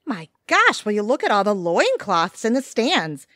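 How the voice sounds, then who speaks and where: Woman playing a bubbly, animated young delivery woman. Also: medium pitch, moderate pace, no room sound, studio quality. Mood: bubbly, guileless. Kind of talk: audio theatre comedy acting.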